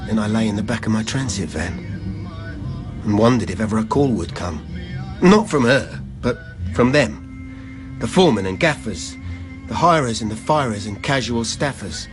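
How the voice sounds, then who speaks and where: A man's voice: on the low side, expressive, normal speed, natural voice. An actor playing a weary, dogged labourer who sleeps in his van, in a rhythmic, confessional verse monologue for radio drama. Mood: weary, determined. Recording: music behind the voice.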